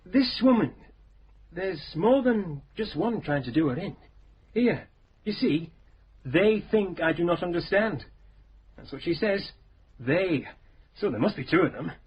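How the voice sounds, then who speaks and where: An actor playing a chatty young detective sergeant in a radio crime drama. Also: male voice, high voice, unhurried, long pauses. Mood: musing. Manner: chatty, lively and informal. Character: young detective sergeant, plain-spoken and restless. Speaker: sergeant to the inspector. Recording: slightly dull radio recording, faint hiss.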